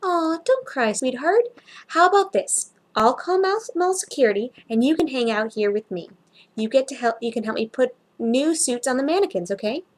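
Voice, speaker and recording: A woman voicing a kind, helpful female shop assistant in a story, talking in a gentle, coaxing, reassuring way. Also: sits high, expressive, moderate pace. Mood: soothing.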